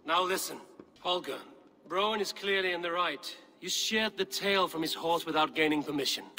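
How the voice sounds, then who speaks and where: A man playing a stern, commanding Viking clan leader in a video game, speaking in a firm, measured, authoritative way. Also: on the high side, pushed and bright. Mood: stern, judicial. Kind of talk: dramatic video game acting.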